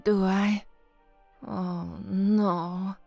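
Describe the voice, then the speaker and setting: A woman's voice: mid-range voice, level tone, drawn-out words, gravelly, mellow. Casting: woman to play tired young female newsreader, anxious yet composed, mid-range voice, audio drama with faint music bed. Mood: tired, affectionate. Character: weary, anxious young female radio newsreader putting on a brave face. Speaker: lead actress and narrator of an audio drama. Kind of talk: audio drama acting, first-person narration and formal newsreading.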